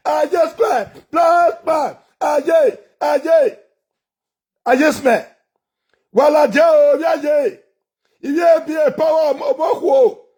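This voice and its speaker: A man, ranting loudly and repeating short chanted phrases over and over. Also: very high-pitched. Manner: repetitive, shouting chants. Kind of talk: shouted rant.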